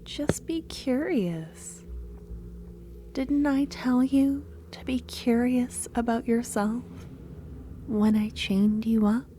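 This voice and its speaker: A woman playing a loving, possessive female cultist kidnapper in a soft-spoken ASMR roleplay, breathy and coaxing, drifting into whispers. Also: fairly high pitch, slow pace, a little hiss or hum. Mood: eager, coaxing.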